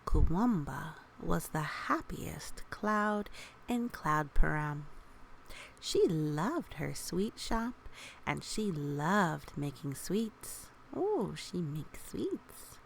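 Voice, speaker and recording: A woman reading a picture book aloud for young children, with gentle, expressive, chatty asides and questions. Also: on the low side, creaky, a little hiss or hum.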